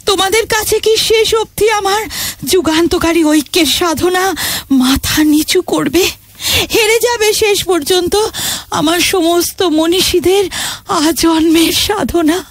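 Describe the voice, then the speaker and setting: Casting: suffering motherland figure, very high voice, anguished halting pleas, audio melodrama. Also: dry recording, heavily acted. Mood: tearful pleading. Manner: anguished, halting, pleading delivery. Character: wounded, despairing Mother India, a helpless woman pleading. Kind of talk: melodramatic audio drama acting.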